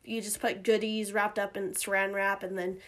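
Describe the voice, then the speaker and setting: A woman hosting a podcast in casual chat, rambling with lots of fillers, her voice a little hoarse from a cough. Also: mid-range voice, flat delivery, moderate pace, a bit bright. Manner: chatty, rambling with many fillers and restarts. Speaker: podcast host.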